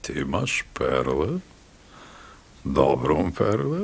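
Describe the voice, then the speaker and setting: An actor playing a haughty, menacing town doctor in a radio play, speaking in a condescending, ominous way. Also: male voice, very deep voice, very animated, extremely slow, vocal fry, exaggerated voice. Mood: ominous, sly.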